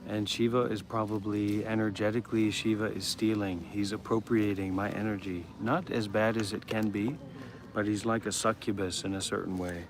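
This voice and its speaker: An American man chatting casually and earnestly on a live stream, rambling from thought to thought. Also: lowish voice. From Maryland, USA. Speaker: live-streamer at a meditation center. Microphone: phone. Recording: clearly noisy live phone stream.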